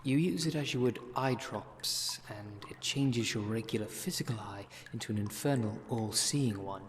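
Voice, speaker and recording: A man acting a brisk, wry city witch in an ASMR roleplay audio drama, talking in a dry, instructive way. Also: on the low side, slight background noise, natural voice. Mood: matter-of-fact.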